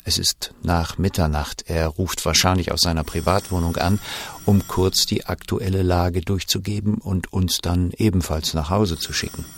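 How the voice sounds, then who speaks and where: An actor playing a shaken German official who recalls a wartime night in measured, suspenseful first-person audio drama narration. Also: male voice, low voice, fairly even intonation, a little hiss or hum. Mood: tense, introspective.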